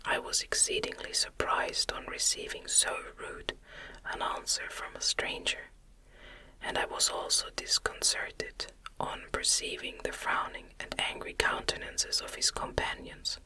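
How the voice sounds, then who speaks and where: A narrator reading a classic novel in a soft, unvoiced ASMR whisper with a soothing cadence. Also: measured pace.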